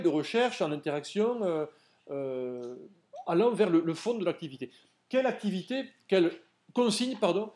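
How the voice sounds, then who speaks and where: A man lecturing at a seminar, his voice sitting rather high for a man. He explains things step by step and stops often to put questions to his listeners, in a lecture room. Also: a little mellow. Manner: didactic, addresses audience directly with questions.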